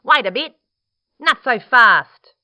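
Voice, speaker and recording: A woman's voice: medium pitch, lively intonation, slow, sharp tone, dry recording. A woman voicing a bristly, bossy male echidna in a children's storybook, speaking emphatically.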